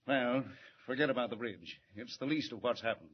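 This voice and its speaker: An actor playing an anxious, gruff middle-aged male police commissioner in 1940s radio acting. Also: mid-range voice, expressive, moderate pace, slight background noise, lightly acted. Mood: worried. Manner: gruff, worried, urgent. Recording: muffled vintage radio broadcast.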